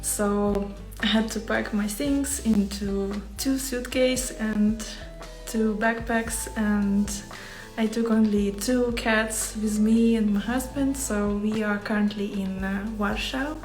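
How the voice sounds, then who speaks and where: Ukrainian accented lady chatting on a livestream, slow n hesitant, echoey room w music behind. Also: mid-range voice, steady tone, darkish tone. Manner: hesitant, searching for words. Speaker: embroidery artist hosting a livestream. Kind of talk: casual livestream chat to viewers. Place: small home workspace indoors.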